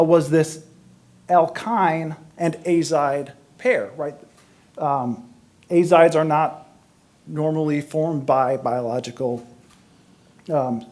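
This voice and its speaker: A male university lecturer with a somewhat high voice explains science to an audience. His delivery is halting, and he often searches for the next word as he works through the explanation. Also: darkish tone.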